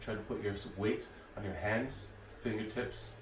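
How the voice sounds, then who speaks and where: A man's voice: lowish voice, fairly even intonation, normal speed. A man in his late thirties giving casual, easygoing workout instruction to camera as a fitness instructor. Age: late 30s. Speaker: martial arts and fitness instructor. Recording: echoey room, distant mic, faint noise.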